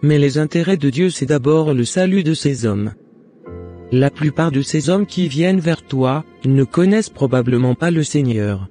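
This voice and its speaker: A man acting a wise, pious, motherly counsellor in a cartoon drama. The voice is dark and mellow, a little high for a man, and teaches in a calm, measured way over soft music. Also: close to an everyday voice. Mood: encouraging. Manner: didactic, measured. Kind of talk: scripted animated drama, preachy counsel.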